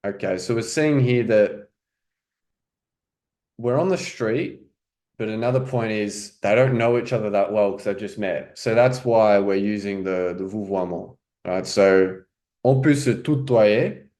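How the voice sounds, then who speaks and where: A man's voice: lowish voice, a little slow, darkish tone. A man coaching French, explaining informally and checking in with "right?" and "okay?". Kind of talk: casual teaching commentary.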